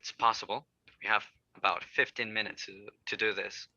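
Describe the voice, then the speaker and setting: A man playing a shaken, regretful father in an audio drama table read, speaking haltingly. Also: on the low side, level tone, vocal fry, bright, forceful tone, no room sound, highs cut off, full character voice. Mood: subdued.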